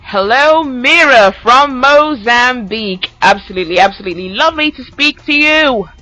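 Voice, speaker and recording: A man's voice: very high voice, lively intonation, moderate pace, sharp tone. A man hosting a podcast interview, upbeat and chatty, often laughing. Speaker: podcast host. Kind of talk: casual interview. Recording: dull lo-fi audio with faint music.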